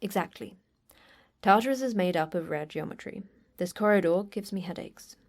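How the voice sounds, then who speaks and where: An actress playing a terse, unflappable manager of a secret facility in an audio drama, with a brisk, dry delivery. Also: female voice, on the low side, lively intonation, a little mellow. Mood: dry, deadpan.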